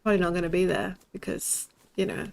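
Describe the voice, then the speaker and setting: A woman's voice: lowish voice, fairly even intonation, fast talker, creaky. A young woman with a lisp, chatting bluntly and swearing while streaming a game. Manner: blunt and sweary, laughs often. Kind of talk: casual chat while playing a shooter game.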